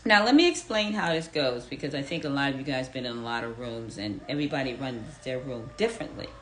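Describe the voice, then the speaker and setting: A woman's voice: rumbling low, lively intonation, quick pace, slight background noise. A woman in her fifties hosting a live audio room, reading out listener comments and repeating her questions to the crowd with emphasis. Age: 50s. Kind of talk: live audience chat.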